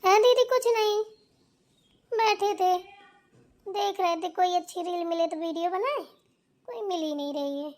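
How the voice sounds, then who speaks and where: Woman doing a very high-pitched cheeky young sister-in-law. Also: a little slow, pauses often. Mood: casual. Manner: mouthy, argumentative. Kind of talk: Hindi family drama cartoon dialogue.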